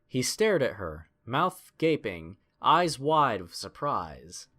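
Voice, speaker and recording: A man's voice: mid-range voice, big pitch swings, slow, fairly bright tone. A man narrating a scripted adventure story in a dramatic storytelling style.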